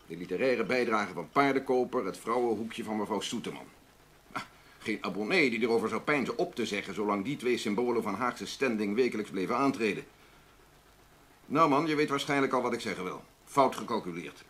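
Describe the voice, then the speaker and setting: An actor playing an older, old-guard newspaper boss in a radio drama, authoritative, lecturing and stiffly formal. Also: male voice, fairly low pitch, fairly bright tone, natural voice. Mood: scolding, blunt. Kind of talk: Dutch radio drama acting.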